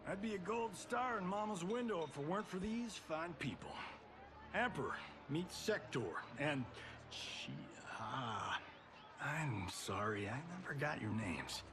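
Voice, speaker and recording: A man's voice: a little high, lively intonation, a little slow, music in the background. A man playing a cocky American army officer from the 1940s in a video game, with folksy, wisecracking soldier slang. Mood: friendly, breezy. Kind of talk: video game cutscene acting.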